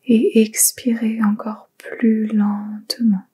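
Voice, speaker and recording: A woman guides a relaxation meditation in a soft, whispery voice that is full of breath. She speaks slowly and gently, leaving pauses between soothing instructions. Her intonation stays very flat and steady throughout. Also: fairly high pitch, husky. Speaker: yoga nidra meditation guide.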